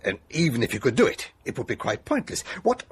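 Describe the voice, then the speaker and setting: An actor playing a wry, sceptical dying gentleman in a radio horror drama, with a British accent and a dry, politely reserved manner. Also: mid-range voice, wildly swooping pitch, fast talker. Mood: sardonic, resistant. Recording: faint background noise.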